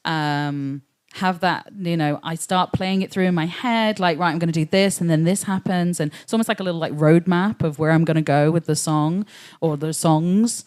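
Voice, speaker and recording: A woman's voice: on the low side, normal speed, few pauses, a little mellow, clean tone. A woman singer chatting casually as a guest in a panel interview.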